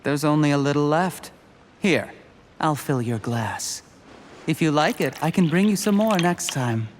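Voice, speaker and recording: An actor playing a cold, calculating male schemer in a video game, resentful and smug, speaking in a deliberate, menacing way. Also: on the high side, lively intonation, a little hiss or hum. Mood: falsely courteous. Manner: deliberate, smooth, menacing explanations. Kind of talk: scripted video game drama acting.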